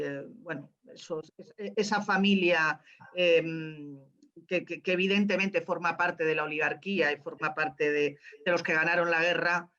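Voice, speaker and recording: A woman's voice: low-pitched, fairly bright tone. A woman gives unscripted, explanatory answers as a playwright. She halts and searches for her words. The sound is slightly dull and lo-fi. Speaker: playwright at a post-show Q&A. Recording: dull, lo-fi audio.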